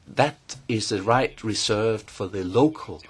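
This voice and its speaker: Guy giving testimony at a hearing, lowish husky voice, lots of pauses. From Norway. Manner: measured, deliberate, with frequent pauses and hesitations. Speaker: invited speaker at a parliamentary hearing. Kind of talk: formal testimony.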